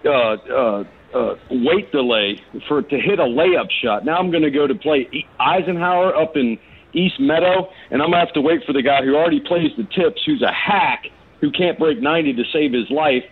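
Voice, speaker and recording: A man's voice: a little high, normal speed, slightly rough. A man phoning a sports radio show with a blunt, exasperated complaint in casual speech, restarting as he goes. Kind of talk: casual opinionated call-in complaint. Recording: narrow phone-in line.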